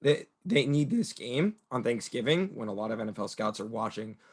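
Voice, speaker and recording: A young male host leads a college football preview with upbeat, announcer-style energy. His voice sits at an ordinary pitch but carries a slight rasp from a cold. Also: expressive, moderate pace. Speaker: show host. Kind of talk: sports show hosting.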